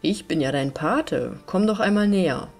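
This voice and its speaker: A woman voicing the Devil as a lordly gentleman godfather in a fairy tale, coaxing and commanding. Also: lowish voice, lively intonation, moderate pace, soft background music. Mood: coaxing, insistent. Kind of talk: fairy-tale audiobook character reading.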